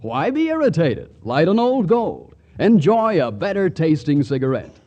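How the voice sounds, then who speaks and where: A man's voice: a little high, wildly swooping pitch, moderate pace, a little mellow, highs cut off. A man announcing on 1940s radio in a folksy, jokey, salesmanlike manner. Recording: vintage 1940s radio broadcast.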